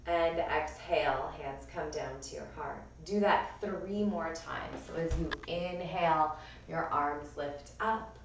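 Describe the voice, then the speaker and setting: A woman with a raspy, strained voice gently cueing breath and movement as a yoga and pilates instructor. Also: deep voice, slow, noisy background. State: strained voice from overuse. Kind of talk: guided class instruction. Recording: echoey room, voice from far off.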